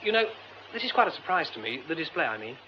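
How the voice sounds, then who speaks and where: An actor playing a courteous, excitable theatre director with an English accent, polite and well-spoken, in a radio drama. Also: male voice, on the high side, fast talker. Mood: intrigued. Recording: muffled radio drama, clear background noise.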